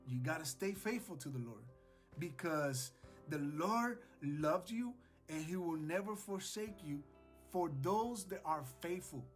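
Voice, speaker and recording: A man's voice: a little high, lively intonation, a little slow. A man in his forties giving an earnest, exhorting devotional message to camera, repeating phrases for emphasis. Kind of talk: devotional sermon to camera, scripture reading. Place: small indoor home studio. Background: music, guitar.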